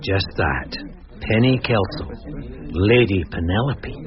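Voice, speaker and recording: An actor playing a shrewd, measured, quietly teasing police sergeant in a radio detective drama. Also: male voice, fairly low pitch, expressive, highs cut off, a crowd in the background. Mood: sly, teasing. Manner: deferential yet sly, explanatory.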